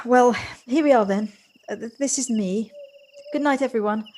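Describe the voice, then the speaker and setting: A woman's voice: fairly high pitch, moderate pace, a little mellow, slight background noise, lightly acted. A woman acting in an audio play as a polite, hesitant visitor, kindly and earnest, with small stammers. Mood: earnest, tentative. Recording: amateur home recording on personal device.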